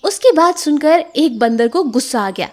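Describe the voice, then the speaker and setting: A woman storyteller reading scripted children's moral tales in an expressive way. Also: high-pitched, normal speed.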